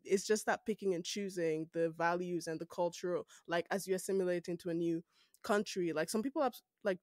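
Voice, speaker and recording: A woman's voice: fairly low pitch, dry recording, studio quality. Nigerian-accented woman host, thoughtful. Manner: thoughtful, questioning, laughs easily. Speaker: podcast host. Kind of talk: casual conversation.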